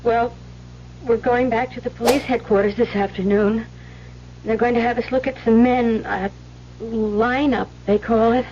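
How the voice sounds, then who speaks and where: An actress playing a meek, troubled young nun in an old radio drama, speaking in an earnest, hesitant, pleading way on a muffled recording. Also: female voice, a little high, fairly even intonation, moderate pace, a little hiss or hum, close to an everyday voice. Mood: subdued, worried.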